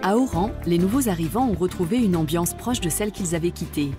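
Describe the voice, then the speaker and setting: A woman with a lowish voice reads documentary narration in a calm, measured way. Music plays clearly underneath her voice-over.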